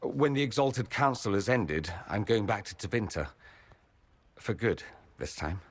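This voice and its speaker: Urbane wry nobleman, low and husky. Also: animated, a little slow. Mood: wry, subdued. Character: urbane, wry nobleman mage hiding grief behind poise.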